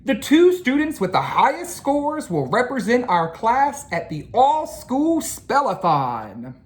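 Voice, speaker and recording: A strict storybook schoolmaster acted out by a woman speaks formally to his pupils. Each phrase is emphatic, with raised, varied pitch. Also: wildly swooping pitch, takes it slowly, full character voice. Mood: firm announcing. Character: strict male schoolteacher addressing his class.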